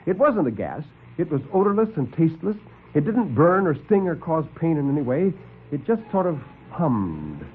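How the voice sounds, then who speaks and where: A man narrating a first-person horror tale as a classic radio storyteller, theatrical and suspenseful, with deliberate pauses. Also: on the high side, big pitch swings, slightly rough. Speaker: storyteller and actor. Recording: muffled old radio broadcast recording.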